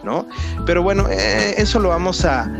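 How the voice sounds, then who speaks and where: Male podcast host, Mexican accent, slightly high pitch, loose rambling chat over audible music. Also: animated, normal speed, gravelly. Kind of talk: casual podcast hosting. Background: background music.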